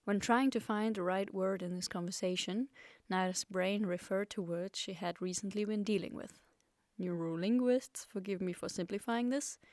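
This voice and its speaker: A woman hosting a podcast, reading scripted narration in a clear, explanatory and lightly playful way. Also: medium pitch, moderate pace, darkish tone, no echo. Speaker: podcast host.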